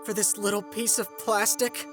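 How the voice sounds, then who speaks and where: A woman's voice: medium pitch, steady tone, normal speed, faint music behind, lightly acted. A woman voicing a bitter, scornful teenage boy villain in a fan comic dub, with biting, sarcastic intensity. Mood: cold, scornful.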